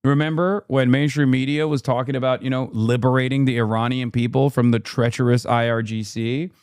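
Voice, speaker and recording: A man's voice: medium pitch, fairly even intonation, darkish tone, clean tone, dry recording. Sarcastic man, dry close mic. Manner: combative, sarcastic, rapid rebuttals. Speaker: streamer reacting to a clip. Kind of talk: political commentary, casual.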